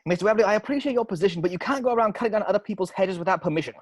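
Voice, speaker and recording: A man's voice: sits high, rapid-fire, no echo. A man voicing a stiff, formal police officer with a clipped, earnest delivery at a video-call table read. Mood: stern.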